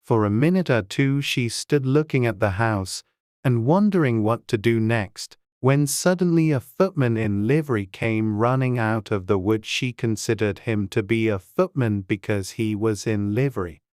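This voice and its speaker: A man narrating a storybook audiobook in a measured, scripted storytelling manner. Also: mid-range voice, darkish tone, no room sound, clean studio sound.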